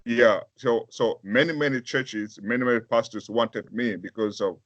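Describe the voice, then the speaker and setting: A man from Africa, a pastor, answering interview questions in a halting way, with many fillers and restarts and a deep voice. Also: fairly bright tone, no room sound, highs cut off.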